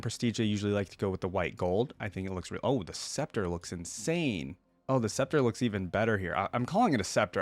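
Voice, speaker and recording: A man's voice: fairly low pitch, no echo. A man hosting a reaction video, giving casual, chatty commentary and thinking aloud in an informal way.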